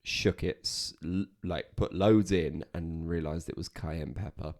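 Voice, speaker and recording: A man's voice: sits low, steady tone, unhurried, a little mellow. A young man co-hosting a podcast, chatting casually with self-deprecating jokes. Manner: joking, self-deprecating banter. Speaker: podcast co-host. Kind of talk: casual chat.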